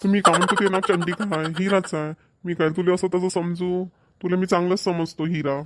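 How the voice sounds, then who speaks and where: A man's voice: high-pitched, little pitch movement, a little fast, a bit bright. A man voicing a grumbling middle-aged village husband in a cartoon, sarcastic with muttered asides. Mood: wry. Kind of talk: cartoon voice acting.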